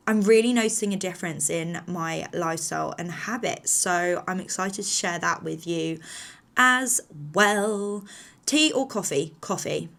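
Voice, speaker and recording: A woman's voice: fairly low pitch, gravelly, fairly bright tone. A woman in her thirties from England, chatting casually to camera in a rambling, slightly nervous way with lots of fillers. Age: 30s. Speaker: vlogger.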